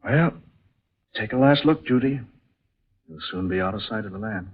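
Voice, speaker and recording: An actor playing an earnest, devoted adventure hero in an old radio drama. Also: male voice, fairly low pitch, animated, moderate pace, slightly rough, phone-quality sound. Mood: relieved. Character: Philip, devoted male sweetheart, shipwreck adventure hero. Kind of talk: 1940s radio acting. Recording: noisy, thin vintage radio recording.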